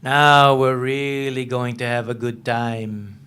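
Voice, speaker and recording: An actor playing a menacing, volatile lieutenant who taunts and needles with abrupt questions in a dramatic reading of a novel. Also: male voice, medium pitch, fairly even intonation, slow pace, bright, forceful tone, slight background noise. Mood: mocking menace.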